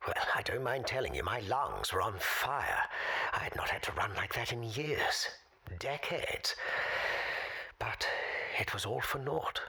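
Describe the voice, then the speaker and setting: A woman playing an elderly, weary, wily former spy in an audio drama, with a hoarse, half-whispered rasp and formal, deliberate storytelling. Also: rumbling low, very animated, bright, forceful tone, full character voice. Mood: grave, tense. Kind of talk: dramatic monologue in audio fiction.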